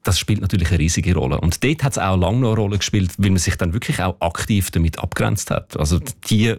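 A man's voice: low-pitched, fairly quick, a little mellow, dry recording. A man with a Zurich Swiss German accent, a dialect expert explaining thoughtfully on a radio show, with some fillers. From Switzerland. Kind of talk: radio interview. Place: radio studio.

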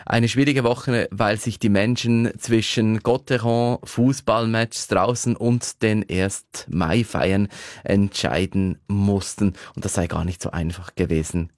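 A man's voice: fairly low pitch, fairly even intonation, slow, no echo, clean studio sound. A man from Fribourg with a Swiss accent, presenting a radio programme in a conversational style. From Fribourg, Switzerland. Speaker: radio host.

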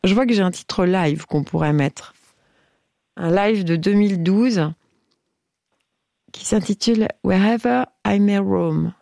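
A woman's voice: mid-range voice, slow, gravelly, pauses often, dark tone, no room sound, studio quality. A woman hosting a late-night radio phone-in, chatting casually with a caller and laughing often as she stumbles over song titles. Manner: playful, laughs often, hesitant reading of titles.

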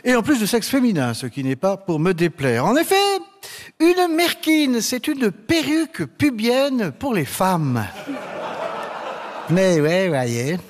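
A man's voice: high-pitched, very animated, slow pace. A man giving humorous mock explanations as a game show panelist, with hesitant asides. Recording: reverberant hall.

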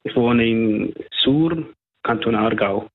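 A man's voice: medium pitch, takes it slowly. Iranian guy, 30s, hesitant, hunts for words, tinny phone-ish clip. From Iran. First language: Persian. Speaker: interviewee.

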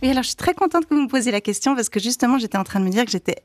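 A woman's voice: on the high side, lively intonation, racing, no echo. Vaud-accented woman, dry and close. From Switzerland. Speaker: guest. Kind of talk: radio discussion.

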